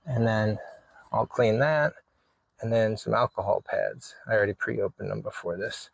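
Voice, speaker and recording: A man's voice: on the low side, moderate pace, creaky, breathy edge, no room sound, low-quality recording. A man narrating a hands-on DIY vlog in an informal way, thinking aloud as he works. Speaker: video creator showing a DIY radio install.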